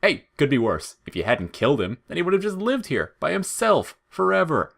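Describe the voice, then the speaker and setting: A man's voice: on the high side, big pitch swings, brisk, creaky, a bit bright, voice pushed up, heavily acted. A man voicing a spiteful, falsely chirpy talking flower in audio fiction, taunting and needling with whiny outrage and menace. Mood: mocking.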